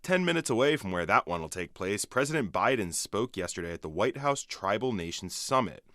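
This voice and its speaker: A man's voice: lowish voice, sing-song, brisk, pushed and bright, no echo, clean studio sound. A man anchoring a radio sports update in a scripted broadcast delivery.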